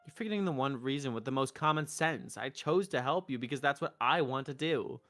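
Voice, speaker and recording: A man's voice: mid-range voice, a little fast, fairly bright tone. Man streaming a visual novel. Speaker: streamer, narrator and player character. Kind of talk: voiced visual novel playthrough. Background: music.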